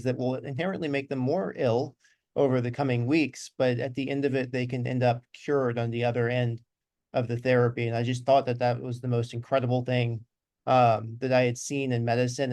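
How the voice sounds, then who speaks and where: Thirties doctor guy on a video call, steady explainer, dry close sound. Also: medium pitch, no echo. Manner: measured, explanatory, with fillers. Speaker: physician guest. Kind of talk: interview.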